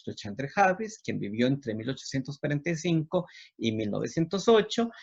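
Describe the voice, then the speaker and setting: A man's voice: on the high side, gravelly, breathy edge, lo-fi sound. A Costa Rican man with a didactic manner, speaking as a university lecturer presenting on literature. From Costa Rica.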